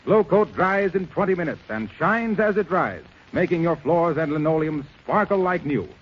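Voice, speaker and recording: A cheerful mailman-salesman, fairly high and lively in pitch. His patter is persuasive and polished, in 1930s radio style on a muffled recording. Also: moderate pace. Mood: upbeat selling. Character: smooth-selling mailman pitching household floor polish. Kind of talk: 1930s radio advertising in character.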